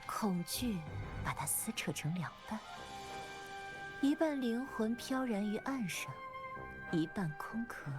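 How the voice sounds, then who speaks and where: An actress playing an elegant, mysterious woman with eerie refined tastes in a video game, measured, theatrical and teasing. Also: fairly high pitch, lively intonation, music in the background. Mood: eerie, savoring. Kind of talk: dramatic game voice acting.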